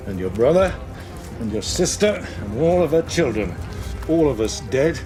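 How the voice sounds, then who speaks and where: An actor playing a stern, domineering family patriarch in a screen drama, blunt and measured as he lectures. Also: on the low side. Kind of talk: television drama acting.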